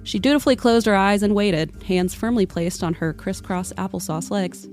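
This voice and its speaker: A woman's voice: medium pitch, moderate pace, darkish tone. A woman narrating a scripted comedic tale in a mock-dramatic, literary manner. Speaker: podcast storyteller. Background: music under the voice.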